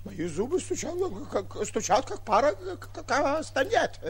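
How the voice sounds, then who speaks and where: An actor playing a boastful but cowardly lion hunter in a theatrical 1940s radio comedy, stammering when frightened. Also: male voice, very high-pitched, very animated, unhurried, heavily acted. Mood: terrified, pleading. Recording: old 1940s radio recording.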